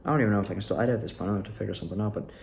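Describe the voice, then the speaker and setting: A man's voice: fairly low pitch, very fast, creaky, darkish tone, slight background noise. A young adult man rambling casually and candidly about his own experiences, like a student actor giving a personal recap. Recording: roomy, echoing room sound.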